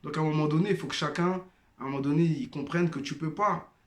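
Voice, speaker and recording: A man's voice: a little high, fast talker, reverberant. A man giving a personal testimony monologue, hesitant with many fillers and restarts, addressing listeners as brothers and sisters. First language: not Arabic-speaking. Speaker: former member sharing testimony.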